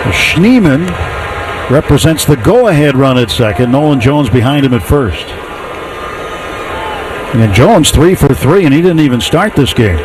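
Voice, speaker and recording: A man doing live baseball play-by-play for radio, with measured phrasing, pauses and clipped stat recaps. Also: fairly high pitch, noisy background. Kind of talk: live radio sports commentary.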